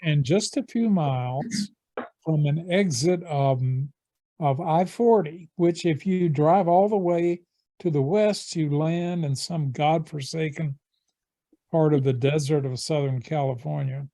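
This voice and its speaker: Slow, mellow-voiced man. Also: on the high side, no echo. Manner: slow, thoughtful, with pauses. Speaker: meeting participant. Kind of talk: casual self-introduction. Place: home.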